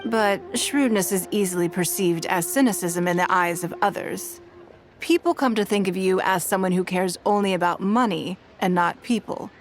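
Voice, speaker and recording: A woman playing a composed, shrewd and wealthy businesswoman in a video game, speaking in a measured, formal way. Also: medium pitch, lightly acted. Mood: reflective, composed. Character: poised, shrewd, wealthy businesswoman of high standing. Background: background music.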